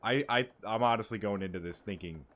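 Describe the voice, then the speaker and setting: A man's voice: on the low side, a little fast, fairly bright tone, slight background noise. A young man giving casual, rambling commentary while playing a game. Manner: rambling, filler-heavy. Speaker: streamer.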